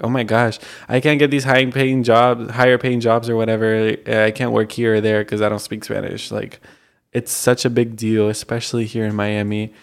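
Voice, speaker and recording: A man's voice: on the low side, smooth. A young man hosting a casual solo podcast, rambling with lots of fillers. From United States, Colombian family. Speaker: podcast host.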